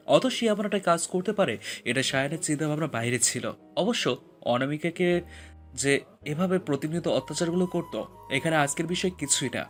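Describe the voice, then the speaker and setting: A man narrating romantic fiction as a scripted audio story, with expressive, emotional storytelling. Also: a little high, normal speed, faint music behind.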